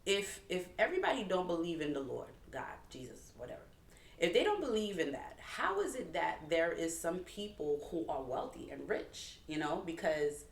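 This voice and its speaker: A woman with a Haitian accent and a slight lisp, co-hosting a casual chat and telling stories in an animated way. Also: on the low side, normal speed. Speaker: co-host. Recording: echoey room from far off.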